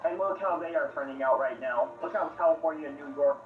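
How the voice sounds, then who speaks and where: A man's voice: on the high side, fast talker. A man chatting on a call, hesitant and full of fillers, giving blunt opinions. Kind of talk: casual chat. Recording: tinny echoey call audio from afar.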